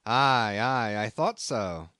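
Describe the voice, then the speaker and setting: A gruff, suspicious old whaling captain barks in old-fashioned speech, as a man reads him aloud. His voice swings up and down with bluster. The sound is dry and close, like a storybook recording. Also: fairly low pitch, animated, slow pace, dry recording, heavily acted. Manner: loud, blustering, archaic thee and thou.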